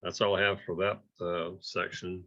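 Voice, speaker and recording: A man giving an informal report at an amateur radio club meeting, halting and hesitant, often repeating words, in dull, muffled audio. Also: low-pitched, little pitch movement, gravelly, bright, forceful tone.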